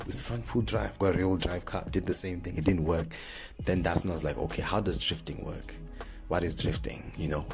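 Very deep-voiced young Zimbabwean man. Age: young adult. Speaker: vlogger, drift fan page host. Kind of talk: casual chat to camera. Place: small plain indoor room. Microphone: phone held close to the mouth. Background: background music.

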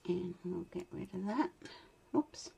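An Australian woman with a tired, croaky voice chatting casually and laughing as she demonstrates card making at her craft desk. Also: fairly low pitch, slow, mellow, a little hiss or hum. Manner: chatty, laughs often, mutters to herself while working. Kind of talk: casual crafting tutorial chat. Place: indoor craft desk, overhead camera.

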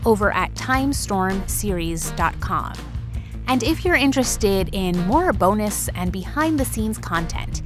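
A woman, the show's director, reading scripted closing credits. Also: medium pitch. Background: music.

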